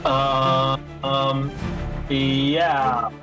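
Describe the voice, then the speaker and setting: A man's voice: medium pitch, completely flat delivery, very slow. A man chatting casually with friends as a player in a tabletop role-playing game. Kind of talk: casual chat among players. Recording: music playing behind the voice.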